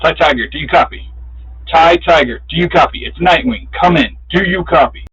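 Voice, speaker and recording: A man playing a masked superhero calling in over a radio, brisk, friendly and businesslike. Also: fairly high pitch, a bit bright. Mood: urgent hailing. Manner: radio call-out phrasing, brisk and familiar. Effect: radio filter. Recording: dull, muffled radio transmission.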